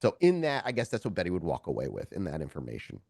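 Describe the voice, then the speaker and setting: A man's voice: low voice, huge pitch swings, quick pace, creaky, darkish tone, dry recording, clean studio sound. A man narrating a tabletop roleplaying game as game master, conversational and informal, with descriptive storytelling.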